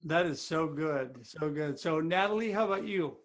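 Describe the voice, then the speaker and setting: A man's voice: fairly high pitch, animated, normal speed. A man hosting a podcast, upbeat and promotional as he introduces his guests. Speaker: podcast host.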